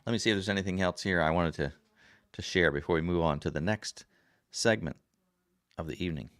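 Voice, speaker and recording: A man with a very deep, raspy voice chats in a folksy, rambling way as he reads viewer comments on his livestream. The sound is dry and close, with no room echo, as if he is sitting right at his desk mic. His throat is rough from a recent sore throat, and he fills the pauses with easy "uh"s and "um"s. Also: fairly bright tone. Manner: rambling and folksy, many fillers. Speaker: livestream host. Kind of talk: casual chat reading viewer comments. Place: home desk. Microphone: desk camera.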